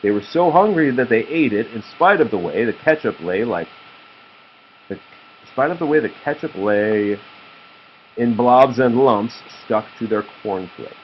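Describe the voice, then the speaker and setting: A man's voice: medium pitch, lively intonation, measured pace. A man reading a children's story aloud as a father to his child, with a patient manner. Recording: slightly muffled, faint background noise.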